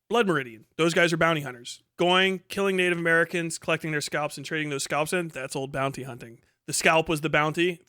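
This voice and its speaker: A man's voice: a little high, sharp tone, no echo, studio quality. A man giving casual, rambling explanatory commentary as a streamer, informal and swearing freely. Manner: informal, heavily profane.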